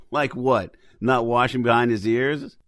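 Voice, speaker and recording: A man's voice: medium pitch, vocal fry, a bit bright, no echo, clean studio sound. An actor playing a folksy, bantering trucker who is a domineering husband and father, in an audio drama.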